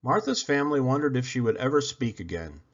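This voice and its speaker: A man narrating a children's storybook aloud in an expressive read-aloud style. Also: mid-range voice, brisk, muffled recording. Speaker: storybook reader.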